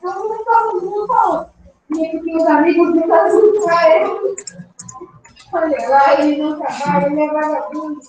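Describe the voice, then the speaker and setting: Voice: very high voice, fairly even intonation, unhurried, darkish tone, a little hiss or hum. A student chattering and joking playfully in the background of an online class. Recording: echoey room, far from the mic.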